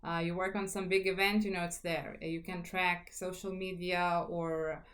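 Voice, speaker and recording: A woman, a guest speaking about her communications work in a lively, upbeat way with many fillers over a video call. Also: lowish voice, moderate pace. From Lithuania. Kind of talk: casual interview conversation.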